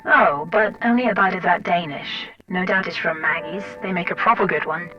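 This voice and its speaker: A woman's voice: fairly low pitch, moderate pace, natural voice. A woman playing a cool, dryly teasing intelligence source in an audio drama, speaking frankly and familiarly. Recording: distant, dull lo-fi sound under music.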